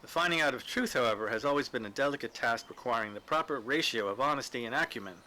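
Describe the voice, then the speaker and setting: A man reading literary fiction aloud as the narrator, with a deliberate storytelling delivery. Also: medium pitch, lively intonation, bright, forceful tone. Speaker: story reader.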